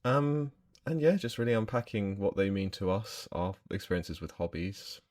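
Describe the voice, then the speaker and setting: A man's voice: fairly low pitch, lively intonation, moderate pace, darkish tone, no echo, clean studio sound. A young man co-hosting a podcast, chatting casually and playfully, often going off on tangents. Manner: playful, jokey, prone to tangents and humming songs. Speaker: podcast co-host. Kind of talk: casual chat.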